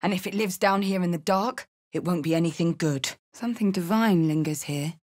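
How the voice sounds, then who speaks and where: A woman's voice: lowish voice, brisk, darkish tone, natural voice. A woman playing a tough, battle-scarred female warrior in a fantasy video game, blunt, wry and defiant in manner. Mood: observant, wry.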